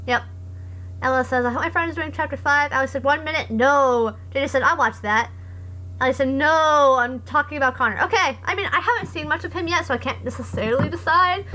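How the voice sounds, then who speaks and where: A young woman streamer with a hoarse voice, chatting casually and reading comments aloud in a rambling, sarcastic way. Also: sits high, fairly quick, sharp tone, poor audio. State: hoarse after voice acting. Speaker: live streamer.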